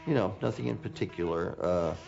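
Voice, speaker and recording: A man's voice: low voice, fairly quick, gravelly, dull audio. A man recalling events in a matter-of-fact storytelling way in a documentary interview.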